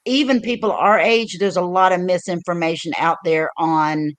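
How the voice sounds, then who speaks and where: A woman's voice: fairly low pitch, fairly even intonation, normal speed. An older woman hosting her own livestream, telling stories in a loose, chatty way with lots of fillers and restarts. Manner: rambling storyteller with many fillers and restarts. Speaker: livestream host. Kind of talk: casual chat.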